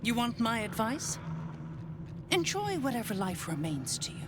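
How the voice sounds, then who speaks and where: Slow, ominous devil's servant. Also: female voice, on the high side, sing-song. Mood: ominous warning. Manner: deliberate, warning tone. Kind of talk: video game character acting. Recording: clear background ambient noise.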